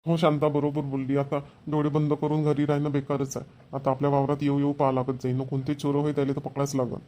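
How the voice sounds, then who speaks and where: A man acts a worried village farmer in a cartoon comedy. The voice sits fairly high for a man and grumbles. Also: completely flat delivery, fast talker, warm tone, lightly acted. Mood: worried, resolute.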